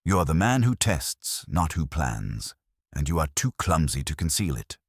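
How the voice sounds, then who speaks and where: A man voicing a very deep, imperious detective. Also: sing-song, normal speed, breathy edge, no room sound, clean studio sound, heavily acted. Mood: accusing, commanding. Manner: pompous, formal, accusatory. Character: stern, imperious male detective genius passing judgment.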